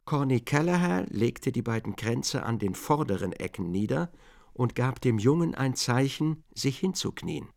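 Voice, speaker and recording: A man narrates a literary radio drama. His medium-pitched voice has a strong creak, and his reading is measured and precise. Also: expressive, darkish tone.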